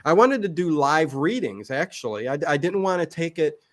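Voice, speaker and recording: Guy with a slightly high voice just chatting, kinda rambly, backs up and restarts his thoughts a lot. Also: animated, moderate pace. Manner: rambling, hesitant, self-correcting. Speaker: guest, online old-time radio channel host. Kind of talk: casual interview chat.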